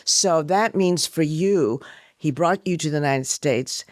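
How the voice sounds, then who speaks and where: A woman's voice: sits low, a little slow, dry recording, clean studio sound. A woman hosting a conversational interview, summing up what she hears and checking it with short questions. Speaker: podcast interviewer, journalist.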